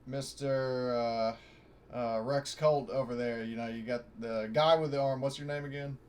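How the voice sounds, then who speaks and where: A man's voice: mid-range voice, fairly even intonation, moderate pace, darkish tone, slight background noise. A man playing a tabletop game, chatting casually in a hesitant, fumbling and sweary way. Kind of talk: casual chat.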